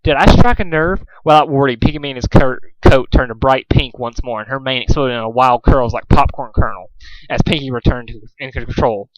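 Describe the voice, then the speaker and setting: A man's voice: mid-range voice, steady tone, fairly quick, no room sound, muffled recording. A man reading a story aloud as an amateur narrator, stumbling over and repeating phrases now and then. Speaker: fan fiction reader, narrator.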